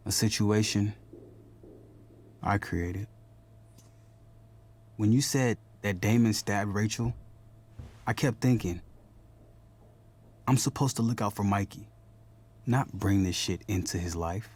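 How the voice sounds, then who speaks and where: A man's voice: on the low side, slow pace, pauses often, darkish tone. A man playing a protective, guilt-ridden older brother, a young man, in a video game drama. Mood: remorseful, sincere.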